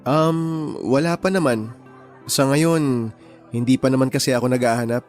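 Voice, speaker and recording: An actor playing a brainy, introverted, considerate young man in a radio drama, speaking in a measured, gentle way. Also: mid-range voice, faint music behind, close to an everyday voice. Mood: friendly, candid.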